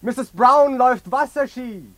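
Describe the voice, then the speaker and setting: An actor playing a male newspaper crier in a radio play, calling out like an old hunting cry. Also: no echo. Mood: proclaiming. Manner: drawn-out high calling. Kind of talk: German radio play acting.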